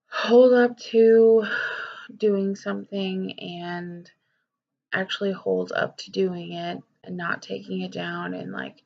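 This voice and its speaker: A woman's voice: mid-range voice, creaky, muffled recording. A young woman talking candidly in a personal vlog, rambling with lots of fillers and swearing, her voice nasal and stuffed up from a cold. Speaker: vlogger.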